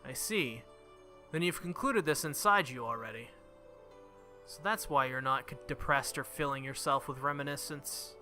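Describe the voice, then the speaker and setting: A man voicing a proud but secretly caring teenage schoolgirl from a visual novel, in a brisk way. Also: mid-range voice, pushed and bright. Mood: reflective. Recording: game music behind the voice.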